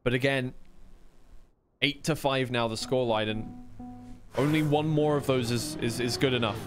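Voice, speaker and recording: A man's voice: mid-range voice, fairly even intonation, normal speed, faint music behind. A man giving live play-by-play commentary as an esports caster on a racing game match.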